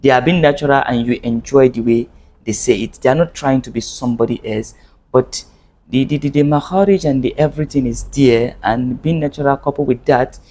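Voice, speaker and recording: A man's voice: mid-range voice, fairly quick, a little mellow. Earnest man teaching Arabic. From Nigeria. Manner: earnest, repeats and restarts phrases. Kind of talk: video lesson to camera.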